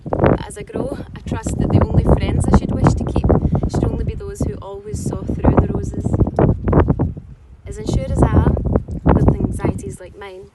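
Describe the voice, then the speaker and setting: Distant mic, loud noise: actress playing anxious female performer, very lively intonation, rhyming confessional monologue. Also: fairly high pitch, moderate pace, long pauses. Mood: anxious, rising. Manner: rhythmic, theatrical, emphatic delivery. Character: anxious, candid female actor baring her real self. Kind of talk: rhyming spoken-word dramatic monologue.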